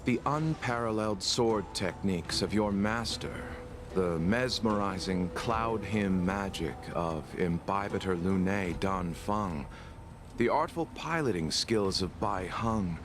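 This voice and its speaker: A man reading video game story text aloud as dramatic narration on a livestream. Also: on the low side. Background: game music.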